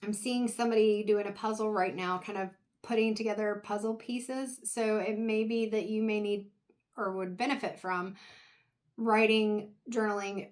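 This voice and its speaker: A woman reads tarot in a relaxed, wandering way, speaking straight to her viewer as she turns each card. She is losing her voice, so it sounds a little strained and she clears her throat now and then. Her pitch sits in a plain middle range. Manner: meandering, reflective, talks directly to the viewer. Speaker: tarot reader. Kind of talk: casual intuitive tarot reading.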